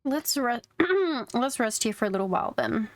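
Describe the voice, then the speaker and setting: A woman's voice: on the high side, sing-song, normal speed. A woman streamer giving offhand, casual reactions while playing a game. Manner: offhand, brief remarks.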